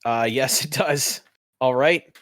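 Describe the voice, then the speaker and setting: A man's voice: medium pitch, slow, fairly bright tone, no echo, clean studio sound. A man acting as game master, casually narrating a tabletop roleplaying game and calling for rolls.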